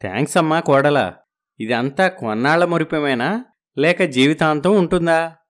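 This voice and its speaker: A man's voice: a little high, normal speed, natural voice. A man playing a middle-aged father-in-law in a story, teasing and questioning in a familiar way. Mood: probing.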